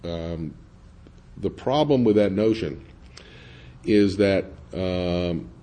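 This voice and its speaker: A man's voice: low voice, slow pace, mellow. A man speaking as a panelist at a public forum, hesitant with many fillers and restarts.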